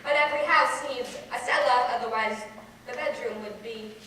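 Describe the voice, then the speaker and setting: Young woman playing a flustered old lady, high-voiced, echoey stage. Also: moderate pace, close to an everyday voice. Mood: flustered, evasive. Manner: flustered, evasive, conversational. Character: reticent, embarrassed old woman in her seventies. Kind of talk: theatrical stage monologue. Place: stage in a large echoing hall. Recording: echoey hall, distant mic, noisy.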